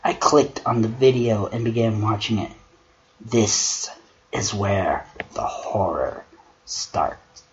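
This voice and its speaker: A man voicing a wry god of struggle who reads a horror story dramatically and mocks it in a theatrical, sarcastic, deliberate way. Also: on the low side, expressive. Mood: ominous storytelling. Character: male god of struggle, Discord's brother, wry theatrical critic. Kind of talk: dramatic creepypasta reading with mocking commentary. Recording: dull lo-fi mic with clear noise.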